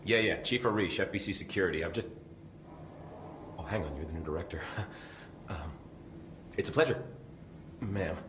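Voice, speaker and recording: A security chief in a video game, flustered but friendly and eager to defer. His voice is on the low side, in an echoey space with faint noise behind it. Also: moderate pace, pauses often, a bit bright. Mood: flustered, apologetic. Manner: informal, rambling, nervous chuckles. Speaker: game voice actor. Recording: roomy echo, faint background noise.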